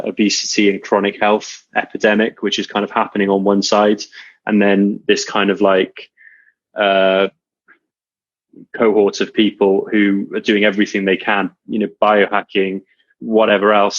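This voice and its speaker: British-accented podcast guest, thirties, easygoing, husky, dry close sound. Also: male voice, lowish voice, moderate pace, no room sound. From born in Serbia, raised in Wales. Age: 30s. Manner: relaxed, easygoing, hesitant fillers. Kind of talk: casual interview chat. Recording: slightly muffled dry podcast audio.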